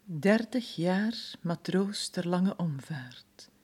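A woman's voice: fairly low pitch, animated, slow pace, darkish tone, slightly rough, full character voice. An actress playing a brooding village woman who narrates as part of a chorus in a Flemish radio drama.

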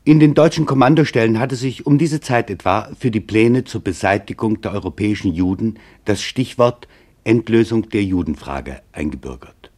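A man with a fairly low voice narrates a documentary radio play. He reads from a script gravely and deliberately, setting phrases apart with weighted pauses. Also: expressive, darkish tone.

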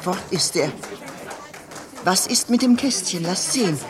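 An actress playing an anxious but outspoken loyal maidservant in 1950s German radio drama, with dramatic, urgent delivery. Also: female voice, mid-range voice, lively intonation, measured pace, over a noisy crowd, very noisy, lightly acted. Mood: curious, wary. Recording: 1950s radio studio recording.

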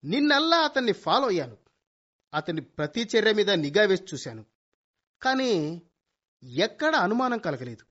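A man's voice: high-pitched, big pitch swings, normal speed, pauses often, fairly bright tone, heavily acted. A man playing a boastful young amateur detective son in a Telugu comedy radio play, speaking in bombastic vows with English words thrown in. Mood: earnest. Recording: dull lo-fi radio play recording.

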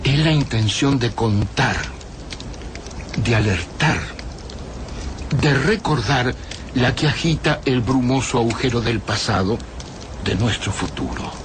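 Male narrator, very slow and solemn, radio drama opening, noise behind. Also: medium pitch.

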